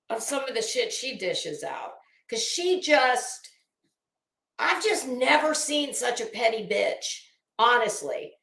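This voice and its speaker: A woman with a high voice hosts a casual livestream, speaking at an unhurried pace with halting, emphatic repeats. She is blunt, mocking and freely profane as she talks to her viewers. The sound is noticeably roomy and echoey. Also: fairly bright tone. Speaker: livestream host.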